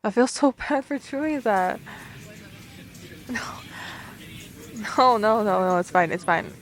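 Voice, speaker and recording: A woman's voice: medium pitch, expressive, takes it slowly, slight background noise, poor audio. Bubbly, rambling young-hearted woman on a date, acted. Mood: flustered, friendly. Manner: chatty, rambling, giggly, hesitant. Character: bubbly, playful, awkward woman at speed dating. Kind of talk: ASMR roleplay, natural conversational acting to the listener.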